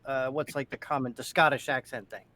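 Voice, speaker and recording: A man's voice: mid-range voice, fairly even intonation, fairly quick, bright, forceful tone. A middle-aged man chatting casually on a video call, enthusiastic and repeating himself for emphasis. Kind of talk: casual chat.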